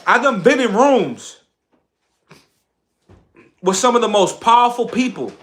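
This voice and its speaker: A man's voice: very high-pitched, expressive, measured pace, fairly bright tone. A man giving an impassioned, boastful livestream monologue, speaking emphatically and repeating phrases for effect. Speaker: social media content creator on a livestream.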